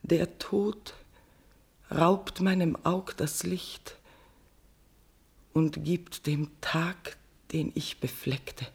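An actress playing a guilt-ridden dying queen in a classical German radio tragedy, speaking solemn declamatory verse in a breathy voice. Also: deep voice, fairly even intonation, extremely slow, pauses often, full character voice. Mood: fading, dying.

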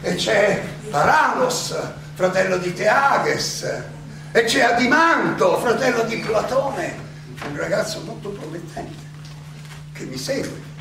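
A man acting an older, proud and ironic philosopher defending himself at trial in a halting, rhetorical stage monologue. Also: high voice, animated, gravelly, heavily acted. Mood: earnest, recalling. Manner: halting, rhetorical questioning with dramatic pauses and emphatic swells. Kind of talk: theatrical stage monologue, courtroom oration. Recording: very echoey distant stage recording, noisy.

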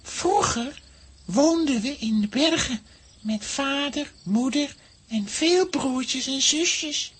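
A woman's voice: sits high, animated, slow pace. A woman playing a poor, earnest little boy telling things simply in a children's audio drama. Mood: wistful. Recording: background noise, crickets.

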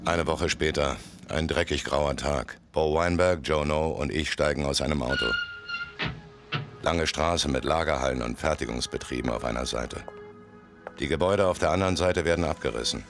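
A man's voice: a bass voice, moderate pace, vocal fry. An actor playing a tough, laconic gangster recounting his rise in a German radio play. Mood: grim, detached. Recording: music behind studio radio play.

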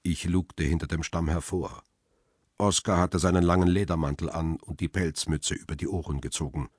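A hunted photographer narrating his own radio thriller. His voice is very deep and husky, recorded close and dry. He describes things in a measured, tense way. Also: dry recording. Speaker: narrator and protagonist. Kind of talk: radio crime drama narration.

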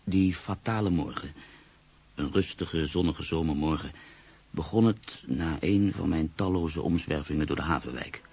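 Thirties literary journalist, very low gravelly voice, measured, reflective, radio play. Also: male voice, darkish tone, exaggerated voice. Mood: reflective, hesitant. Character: reflective, hesitant writer-journalist in his mid-thirties. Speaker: actor narrating as the lead character. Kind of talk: radio drama monologue and dialogue.

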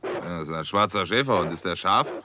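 A sceptical doctor at the centre of a 1960s radio whodunit. His voice sits fairly low. He moves between dry narration and wry dialogue, always crisp and matter-of-fact. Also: lively intonation, fairly quick, background noise. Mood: wary.

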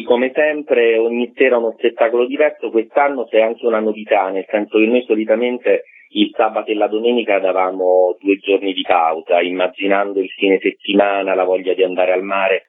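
A man's voice: fairly low pitch, no room sound. A man speaking as an interview guest, giving fluent, enthusiastic, informative answers as a festival director. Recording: narrow tinny telephone line.

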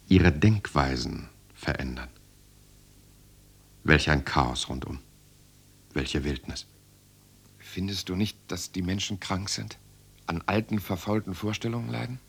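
An idealistic young would-be playwright in a radio drama. His voice is very low, and he phrases his thoughts deliberately, with earnest rhetorical weight. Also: creaky, slightly rough, full character voice. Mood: earnest, brooding. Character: idealistic young male playwright and former medical student. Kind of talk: German radio drama monologue and dialogue.

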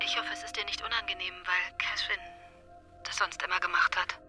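A woman's voice: fairly low pitch, fairly even intonation, brisk, loud music behind the voice, lightly acted. An actress playing a polite, hesitant mother asking a favour in a radio drama, speaking carefully.

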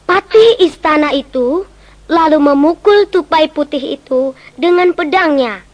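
A woman narrating a children's story, animated and speaking to listeners as friends. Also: high voice, measured pace.

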